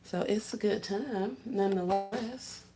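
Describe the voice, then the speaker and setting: A soft-spoken woman, chatting casually with viewers on a livestream while she works on gift baskets. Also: mid-range voice, measured pace, over music, rough recording. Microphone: phone set down while working.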